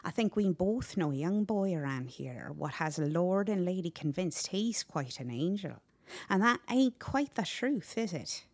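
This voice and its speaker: A woman voicing a wise, kindly old country servant in a storybook, folksy, coaxing and unhurried. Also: fairly low pitch, lively intonation.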